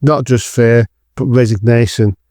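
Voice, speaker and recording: A man's voice: medium pitch, fairly even intonation, unhurried, warm tone, no room sound, studio quality. A man narrating a scripted dramatic thriller as the storyteller of an audio drama.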